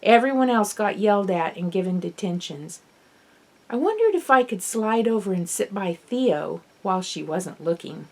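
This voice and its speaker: A woman's voice: mid-range voice, sing-song, moderate pace, a little mellow. Woman narrating a children's audiobook expressively. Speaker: author reading own novel. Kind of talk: audiobook narration of children's fiction, first person.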